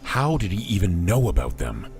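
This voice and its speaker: Man as a very deep, weary police commissioner. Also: sing-song, moderate pace, darkish tone, soft background music. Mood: worried. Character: weary, guarded older male police commissioner. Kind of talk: fan dub voice acting for a comic.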